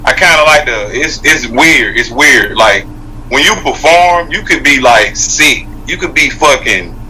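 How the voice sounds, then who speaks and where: A man's voice: mid-range voice, animated, a little slow, sharp tone, rough recording. A man who is a rapper, guesting on an interview and joking around loosely with a lot of profanity. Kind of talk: casual interview banter.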